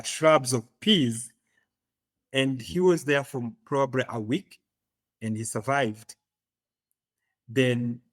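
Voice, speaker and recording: A man from Uganda with an East African accent, telling his story as an interview guest, often pausing to search for words. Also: mid-range voice, no echo. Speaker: podcast guest.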